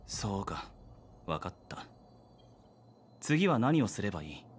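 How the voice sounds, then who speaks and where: Stoic young anime game guard, expressive range. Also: male voice, lowish voice, faint music behind. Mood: stoic, serious. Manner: measured, matter-of-fact. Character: stoic, serious young male guard, cool and terse. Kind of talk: Japanese anime game voice acting.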